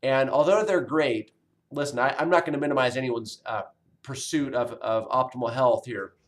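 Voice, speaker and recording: A man's voice: medium pitch, moderate pace. A man in his forties, a college health instructor, talking casually to his online students in a recorded video message, rambling with many fillers and restarts. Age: 40s.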